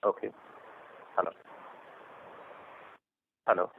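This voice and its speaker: A man with an Indian accent, an investor calling in with questions in a halting, informal way. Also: a little hiss or hum. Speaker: caller, investor asking questions. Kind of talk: probing questions on a conference call. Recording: thin narrow telephone line.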